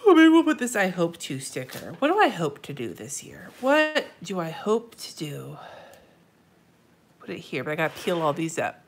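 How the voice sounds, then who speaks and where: A woman's voice: lowish voice, wildly swooping pitch, normal speed, a little hiss or hum. Congested nasal woman chatting on a livestream. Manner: chatty, muttering to herself, swears freely, answers chat. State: congested, nasal from a stuffy nose. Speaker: planner livestream host. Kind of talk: casual livestream chat while decorating a planner. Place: indoors at a desk.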